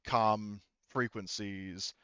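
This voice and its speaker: A man working as game master of a tabletop roleplaying game, narrating casually and haltingly, correcting himself as he explains on a headset. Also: on the low side, steady tone, sharp tone, clean tone, no room sound, dull audio.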